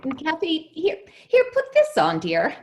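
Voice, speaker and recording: A gentle nanny in an old-time radio play, acted by a woman, coaxing and soothing in a fairly high voice that swoops up and down. She takes her time, fussing with forced cheer. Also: wildly swooping pitch, a little slow, a little hiss or hum, full character voice. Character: gentle, fussing nanny minding a little girl.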